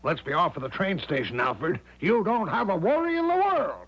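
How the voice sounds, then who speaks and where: An actor playing a big, bluff, overconfident American major who breezily sweeps objections aside in a 1970s radio drama. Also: male voice, sits high, very animated, moderate pace. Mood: confidently reassuring. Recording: dull vintage radio recording.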